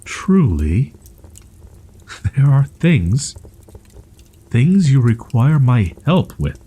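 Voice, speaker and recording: A man playing a husky, halting young wolf-boy. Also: medium pitch, very animated, dark tone, heavily acted. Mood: wary, hesitant. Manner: halting, stammering, archaic formal phrasing. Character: proud, wounded, tsundere young male wolf-boy with ears and tail. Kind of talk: roleplay audio drama, ASMR.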